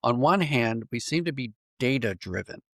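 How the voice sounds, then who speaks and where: Reflective man with a creaky, close-miked voice. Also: mid-range voice, animated, moderate pace, no room sound. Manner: reflective, thinking aloud with self-corrections. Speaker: podcast guest, writer and dramatist. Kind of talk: conversational interview answers.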